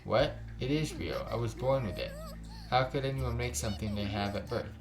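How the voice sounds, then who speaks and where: A man's voice: mid-range voice, expressive, moderate pace, natural voice. Man reading as an indignant young fox girl. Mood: defensive. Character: defensive, easily angered young fox girl.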